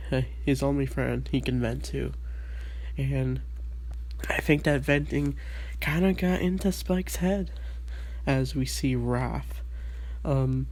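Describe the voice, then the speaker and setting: A man's voice: mid-range voice, darkish tone, poor audio. Man, stuffy under-the-weather voice, eager rambling manner, casual cartoon review. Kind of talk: casual solo review chat.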